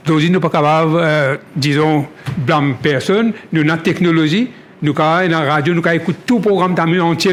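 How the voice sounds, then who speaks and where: Man, slightly raised pitch, spontaneous halting delivery with frequent repetitions, faint noise behind. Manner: halting, repeats words and restarts often. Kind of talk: spontaneous testimony in creole-tinged French.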